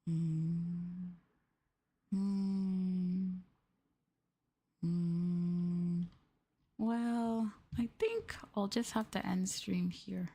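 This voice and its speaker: A woman streamer chatting softly in an ASMR livestream style, gentle and hesitant, sometimes drifting into a whisper. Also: lowish voice, fairly even intonation, drawn-out words, long pauses, husky. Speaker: streamer, VTuber host.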